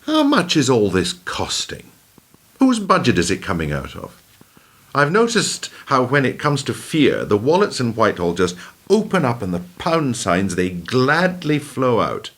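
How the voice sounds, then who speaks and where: A man's voice: medium pitch, wildly swooping pitch, unhurried, fairly bright tone, full character voice. A man acting in a radio play as a weary, sardonic ageing former prime minister, telling his story in a wry, theatrical way. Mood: defiant, sarcastic. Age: older. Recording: noisy amateur radio play recording.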